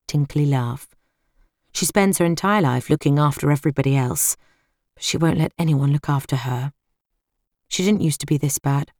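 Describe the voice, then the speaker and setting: A woman's voice: sits low, a little mellow, no room sound, studio quality. A British woman reading first-person fiction as an audiobook narrator.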